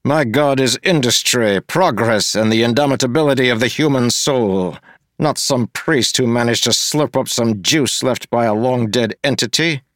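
A man voicing a smug, scheming male mayor who talks slowly and pompously, taunting his rival. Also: mid-range voice, a bit bright, dry recording, studio quality, pitched above the performer's own voice, lightly acted. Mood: contemptuous.

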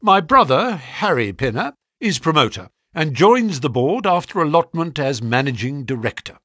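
A man voicing a brisk, flattering financial agent in a story, speaking sharply with grand, pushy salesmanship. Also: on the high side, no echo, clean studio sound. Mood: salesmanlike, grandiose. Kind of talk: audiobook character dialogue.

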